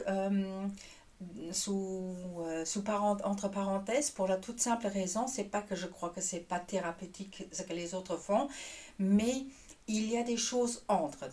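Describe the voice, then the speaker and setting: A woman with a Luxembourgish accent, a native Luxembourgish speaker, introducing herself on her channel as a tarot reader and pausing often to find her French words. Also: medium pitch, darkish tone. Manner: hesitant, searching for French words with restarts. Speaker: tarot reader and energy worker. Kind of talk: casual YouTube introduction.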